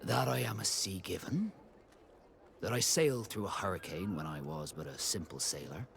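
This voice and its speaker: An actor playing a proud, reserved male ship captain in a video game, speaking in a measured, formal and courteous way. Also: deep voice, animated, creaky, fairly bright tone, a little hiss or hum, heavily acted. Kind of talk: video game dialogue acting.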